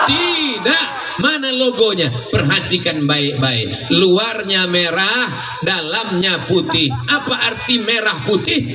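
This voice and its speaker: High-voiced man rousing a crowd. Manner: rousing, calls out questions for crowd responses. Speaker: Islamic preacher. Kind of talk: sermon to a crowd. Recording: echoey live recording from far off with crowd and music.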